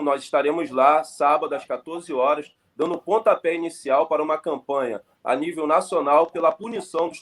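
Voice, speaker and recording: A man from Brazil, an activist guest on a live political stream, speaking with passion and repeating his key points like a rally speech. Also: on the high side.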